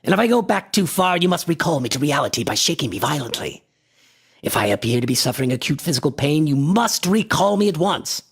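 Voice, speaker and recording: An actor playing an obsessed, imperious antiquarian in a radio horror drama, breathy and husky with grandiose, formal delivery that often sinks toward a whisper. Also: male voice, fairly high pitch, very animated, exaggerated voice. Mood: intent, commanding. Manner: grandiose, deliberate, formal diction. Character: obsessed, imperious male antiquarian experimenting with a mystical drug. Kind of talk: theatrical radio horror acting.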